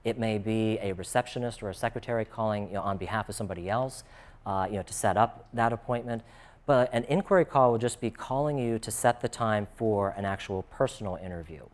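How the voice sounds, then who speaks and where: A man's voice: on the low side, fairly quick. An American man speaking as a job coach in an informal, conversational and explanatory way, often dropping in "you know". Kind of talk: informal instructional talk.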